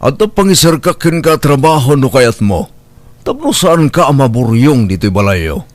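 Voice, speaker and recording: A benevolent male employer played by a man talks in a medium-pitched voice with an Ilocano accent. He is concerned and reassuring, recorded close and dry for an audio drama. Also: very animated, moderate pace, no room sound, close to an everyday voice. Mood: generous.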